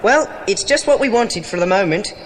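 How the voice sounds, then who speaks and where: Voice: mid-range voice, expressive, moderate pace, a little hiss or hum, lightly acted, rough recording. An actor playing an earnest, practical British boy in a dramatised children's adventure audiobook, with a well-spoken manner. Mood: reassuring.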